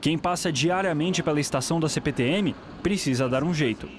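A male news reporter reads his report in a measured, formal way. His voice sits a little high. Faint street noise runs behind him. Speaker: field reporter. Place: outdoors on a city street by a train station. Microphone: handheld reporter mic.

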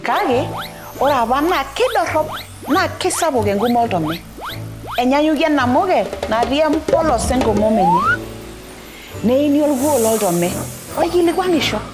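Children's storyteller: woman, 30s, Kenyan accent, native Maasai, very animated delivery, music underneath. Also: high-pitched, moderate pace. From East Africa. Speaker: storyteller, host. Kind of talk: children's storybook reading and presenting. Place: studio set, small furnished room with bookshelves, indoors.

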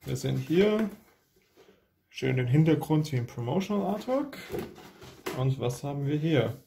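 Gravelly-voiced man presenting an unboxing. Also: a little high, big pitch swings, unhurried, noisy background. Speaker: unboxing video host. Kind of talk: casual hands-on commentary. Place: indoor room at a tabletop.

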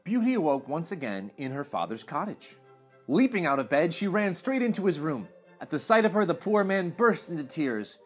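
Animated, husky male storybook reader. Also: a little high, normal speed, soft background music. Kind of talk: expressive storybook read-aloud narration. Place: small furnished room indoors.

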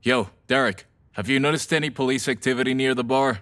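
A man's voice: mid-range voice, a little fast, a bit bright, close to an everyday voice. A man acts out a brash young half-vampire who dabbles in potions. His voice sits in a middle male range. He talks in an offhand, sweary, casual way, as in an audio drama. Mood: breezy.

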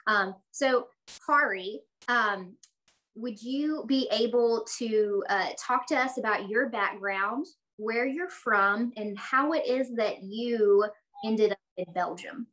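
A woman's voice: on the high side, slow pace, a bit bright, rough recording. Friendly woman hosting a video call. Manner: friendly, many ums. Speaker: church missions host. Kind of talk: interview introduction. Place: office room.

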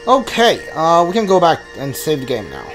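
Male game streamer, slightly high pitch, offhand and hesitant play-by-play over faint music. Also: wildly swooping pitch, moderate pace, fairly bright tone. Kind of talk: casual gameplay commentary. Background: video game music.

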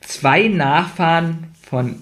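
A man's voice: on the high side, slow, a bit bright. A man talking informally as a podcast co-host, retelling and commenting on a story. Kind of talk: casual chat, retelling and critiquing a story.

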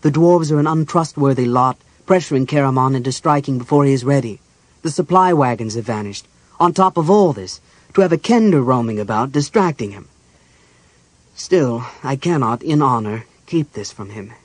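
A cold and scheming archmage acted by a male narrator in a fantasy audiobook. His voice is husky and controlled, with formal, measured phrasing that carries command. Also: mid-range voice, lively intonation, no room sound, close to an everyday voice. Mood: persuasive, grave.

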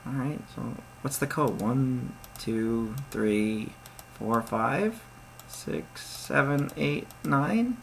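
A man's voice: mid-range voice, very slow, creaky, a little hiss or hum. A young man playing a point-and-click game, reading its text aloud and joking about it. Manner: reads game text aloud, jokey and excitable asides. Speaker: let's play gamer. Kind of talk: casual let's play commentary.

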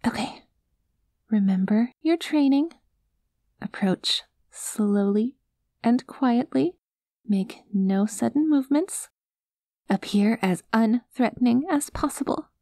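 Soft, high-voiced earnest alien girl played by a woman. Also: animated, slow pace, pauses often, no room sound. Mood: determined, musing. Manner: earnest, flustered, talks to herself. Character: cute, earnest, excitable young alien girl on a rescue mission. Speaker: audio roleplay performer. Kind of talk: ASMR roleplay acting, soft-spoken.

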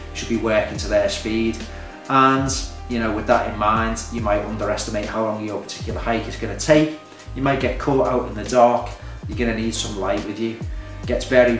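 A man speaks to camera in an informal, advisory way, like someone instructing beginners. He is recorded indoors at some distance from the microphone, so the room echoes around his voice. Faint music sits underneath. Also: lowish voice. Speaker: hiking vlogger giving beginner tips. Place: indoors, small home room at a table. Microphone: camera a few metres away.

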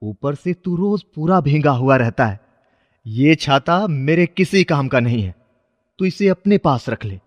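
A man's voice: a little high, lively intonation, measured pace, muffled recording. A man plays a mysterious old beggar in a cartoon moral tale, carefree and fatherly. He talks benevolently to a child, with a long reverb and a slightly dulled sound. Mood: kindly concern. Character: carefree, kindly old mystical male beggar. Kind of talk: dramatized children's moral story.

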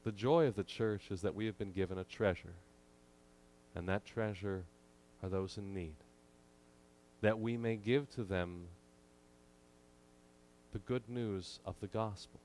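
A man in his thirties preaching a sermon from a church pulpit, measured and emphatic with frequent pauses, into a pulpit microphone. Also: lowish voice, darkish tone, slight background noise, poor audio. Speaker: pastor.

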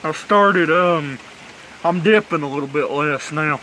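A man's voice: fairly high pitch, unhurried, pushed and bright, slight background noise, poor audio. A young man, chatting casually and cursing often in a laid-back drawl while filming himself on a phone on a covered porch. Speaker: vlogger reviewing dip tobacco. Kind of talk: casual rambling vlog chat. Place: covered porch outdoors in heavy rain. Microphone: phone camera on kickstand. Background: rain falling.

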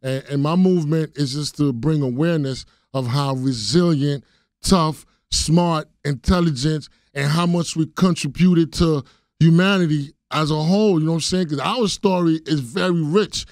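A man's voice: fairly high pitch, moderate pace, darkish tone, no room sound. A middle-aged man born in Haiti, a guest in a radio studio, talking casually with lots of street slang and repeated "you know what I'm saying". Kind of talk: casual interview talk. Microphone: studio mic on boom arm.

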